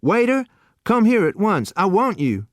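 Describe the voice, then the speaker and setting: A man voicing a naive, eager seventeen-year-old boy who exclaims with wide-eyed excitement. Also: fairly high pitch, a little slow, no echo, studio quality, voice pushed up. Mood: delighted.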